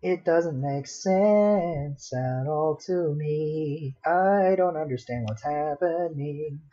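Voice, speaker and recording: A man's voice: a little high, slow pace, dark tone, faint music behind. A man casually chatting on a livestream while he draws, muttering to himself in a self-deprecating way. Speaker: livestreaming artist and host. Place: at home with a dog nearby. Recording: dull lo-fi home stream audio.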